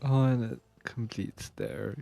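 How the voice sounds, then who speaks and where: Slow, sympathetic gaming streamer. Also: medium pitch, expressive, gravelly, warm tone. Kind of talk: casual gaming reaction commentary.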